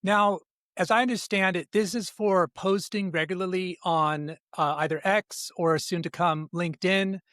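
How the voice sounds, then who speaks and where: A man's voice: sits high, fairly even intonation, moderate pace, a bit bright, no room sound, clean studio sound. A man hosting a podcast, asking curious, rambling questions with many fillers. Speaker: podcast host. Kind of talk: casual podcast interview.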